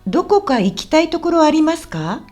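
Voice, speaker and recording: A woman playing a friendly, helpful local guide in a scripted language-lesson radio drama, explaining politely and clearly. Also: high voice, big pitch swings, a little fast, darkish tone, faint music behind. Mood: welcoming. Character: friendly, helpful woman guiding a visitor around Osaka.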